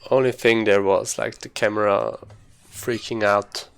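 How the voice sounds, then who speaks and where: A man's voice: lowish voice, steady tone, measured pace, gravelly, clear, resonant tone. A man giving casual live commentary as he streams a speedrun, informally thinking aloud while he plays.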